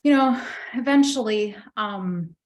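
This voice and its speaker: A woman's voice: on the high side, slow pace, poor audio. Egyptian-born woman telling her story. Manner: thoughtful, many fillers and restarts, warm recollection. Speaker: interview guest. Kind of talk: reflective personal storytelling.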